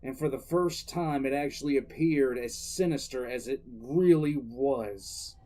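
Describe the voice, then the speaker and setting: A man playing a contemptuous military commissar who tells his own story in grim, deliberate dramatic narration. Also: mid-range voice, mellow, natural voice. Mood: grim disdain. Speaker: narrator and lead character. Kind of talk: first-person dramatic audio drama narration.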